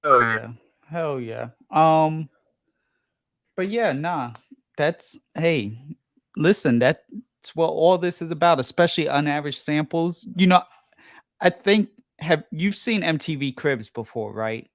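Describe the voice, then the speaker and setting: A man's voice: on the high side, animated, measured pace, no room sound. A man of Persian background hosting a live stream, upbeat and laid-back, often saying "hell yeah" while he reads out viewer questions.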